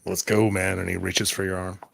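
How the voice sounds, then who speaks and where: A man's voice: low-pitched, level tone, brisk, gravelly, fairly bright tone. A man improvising in-character dialogue in a tabletop roleplay, talking in a casual, slangy way.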